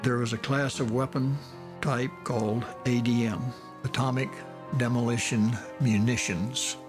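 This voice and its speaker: An American man explaining in a documentary interview with deliberate, halting phrasing. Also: medium pitch, steady tone, over music.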